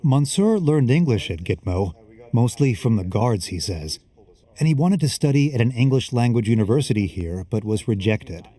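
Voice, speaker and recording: A man's voice: mid-range voice, expressive, normal speed, mellow. A man working as a reporter, reading scripted narration for a documentary. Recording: music under the voice. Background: background music.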